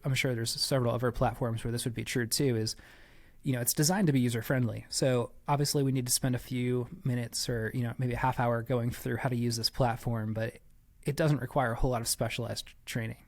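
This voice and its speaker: A man's voice: mid-range voice, steady tone, fairly quick. A man giving conversational interview answers as a podcast guest, explaining things with frequent asides and qualifications. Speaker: church staff member, podcast guest.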